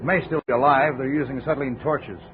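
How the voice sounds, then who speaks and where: A man plays a patrolman reporting quickly in an old radio play. His mid-pitched voice comes through a narrow, noticeably noisy recording. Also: steady tone, lightly acted. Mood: urgent, factual. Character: hurried male highway patrolman reporting at a crash scene. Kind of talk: 1950s radio drama acting.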